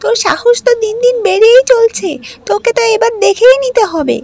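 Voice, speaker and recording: A woman's voice: very high voice, lively intonation, fairly quick, darkish tone, voice pushed up. A woman voicing a jealous teenage stepsister in a cartoon, whiny and tattling. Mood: whining. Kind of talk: cartoon voice acting.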